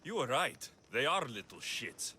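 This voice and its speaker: An actor playing a wry, confident, battle-hardened mercenary in a video game, with dry sardonic delivery. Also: male voice, medium pitch, sing-song, measured pace, pushed and bright, slight background noise, heavily acted. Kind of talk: video game character acting.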